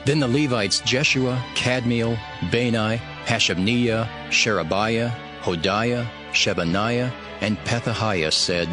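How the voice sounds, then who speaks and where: A man's voice: mid-range voice, takes it slowly, few pauses, music in the background. A man giving a scripted narration of an audio Bible as its narrator.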